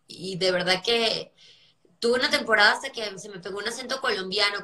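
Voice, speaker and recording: A woman in her thirties from Venezuela, her accent a blend of Venezuelan and Mexican, chatting animatedly on a live stream from home on a phone held at arm's length. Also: medium pitch. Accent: Venezuelan with a Mexican tinge. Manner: animated, exasperated, laughing asides. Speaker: actress hosting a live stream. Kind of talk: casual live-stream chat. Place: bright home room.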